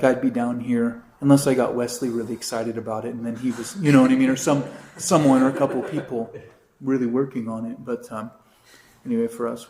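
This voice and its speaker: A man who is a priest, teaching a catechism class in a relaxed, chatty way with many asides. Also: medium pitch. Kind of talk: informal class talk. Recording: roomy echo.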